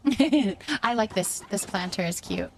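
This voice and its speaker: A woman's voice: mid-range voice, expressive, unhurried, a little hiss or hum. A woman livestreaming a game, chatting casually with viewers and thinking aloud as she goes.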